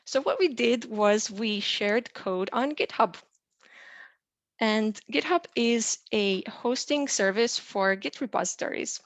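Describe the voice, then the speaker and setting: A young adult woman from Romania, a software engineer, presents a tutorial in a clear, explanatory way with frequent "uh" and "um" fillers. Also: on the high side, takes it slowly, dry recording. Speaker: software engineer teaching a lesson.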